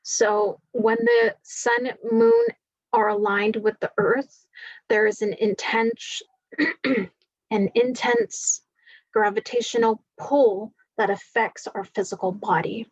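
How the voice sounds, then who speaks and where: Woman, groggy voice, spiritual forecast reading, unhurried, rambling with fillers, dull dry recording. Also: a little high, big pitch swings, no room sound. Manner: slow, meandering, many fillers. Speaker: spiritual reader.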